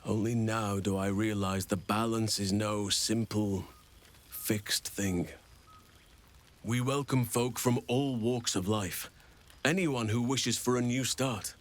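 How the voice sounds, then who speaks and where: An actor playing a gentle, wise old druid man in a fantasy video game, speaking in formal, measured, earnest sentences. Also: lowish voice, fairly even intonation. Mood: earnest, proud. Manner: formal, measured, eloquent speech.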